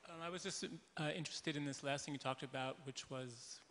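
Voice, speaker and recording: A man's voice: medium pitch, brisk, fairly bright tone. A man from the audience asking a question at a conference, hesitant and polite. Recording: distant in a reverberant hall, faint noise.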